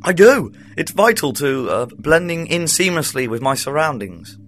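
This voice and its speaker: An actor playing an eccentric, jovial time traveller in an amateur audio drama. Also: male voice, fairly high pitch, very animated, normal speed, a bit bright, slight background noise. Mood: breezy. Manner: airy, jovial, upper-class British.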